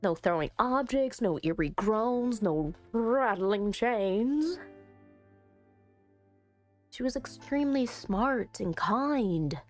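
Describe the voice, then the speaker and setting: A woman doing let's play commentary on a visual novel, reading it aloud and chatting with expressive, playful asides. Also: a little high, takes it slowly. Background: soft music.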